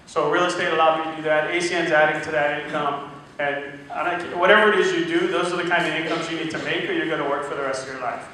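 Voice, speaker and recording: A man's voice: a little high, fairly even intonation, quick pace, bright, forceful tone. A man giving a casual testimonial speech to a room at a business event, telling his story with quoted dialogue. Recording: echoey room from far off, faint noise.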